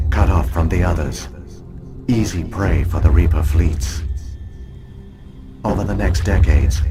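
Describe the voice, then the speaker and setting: An actor playing an ancient alien archive program that speaks in a measured, grave, formal way. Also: male voice, low voice, close to an everyday voice. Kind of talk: video game voice acting. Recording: music playing behind.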